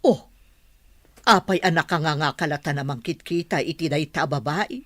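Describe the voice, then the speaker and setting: A woman's voice: low voice, normal speed, a bit bright, no echo. A woman playing a proud, wealthy mother of a grown son in a radio drama, haughty and prying in melodramatic style.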